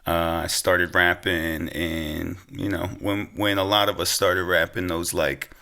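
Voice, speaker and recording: A man's voice: sits low, flat delivery, a little slow, vocal fry, sharp tone. A young American man chatting casually as a podcast guest, easygoing and slangy. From Pennsylvania, United States. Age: young adult. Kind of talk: casual interview chat.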